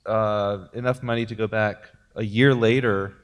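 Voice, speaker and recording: A man with a fairly low voice talks loosely about making his film, full of ums and small jokes. His words ring on in a big reverberant hall. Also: steady tone, a little slow. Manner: jokey, rambling with many fillers. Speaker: filmmaker. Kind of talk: post-premiere panel talk.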